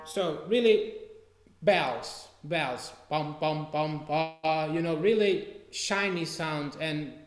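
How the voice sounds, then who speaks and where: Slow-spoken Portuguese man, roomy sound. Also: fairly high pitch, faint music behind. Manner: relaxed, halting, sings out note syllables to demonstrate. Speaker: trombone teacher giving a masterclass. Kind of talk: online masterclass. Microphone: video call mic.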